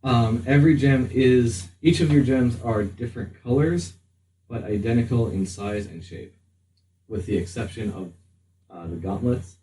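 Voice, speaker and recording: A man's voice: mid-range voice, normal speed, mellow, distant mic. A man narrating a tabletop roleplaying game as game master, in a hesitant, improvised way. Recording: roomy, off-mic, faint room noise.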